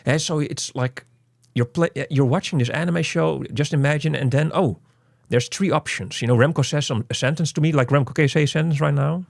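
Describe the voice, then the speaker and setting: A man co-hosting a casual game review, talking in an animated, rambling way with lots of "you know". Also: on the high side, brisk, gravelly, hardly any pauses, dry recording, clean studio sound.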